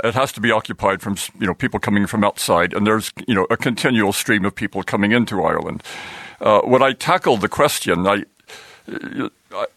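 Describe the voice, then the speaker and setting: A man's voice: lowish voice, a bit bright, dry recording. An American man, a professor guesting on a radio interview, explaining his ideas and thinking aloud as he talks. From United States.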